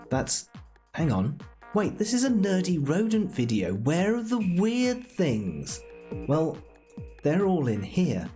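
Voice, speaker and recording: A man presenting a casual software tutorial in a playful, chatty way with jokey asides. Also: mid-range voice.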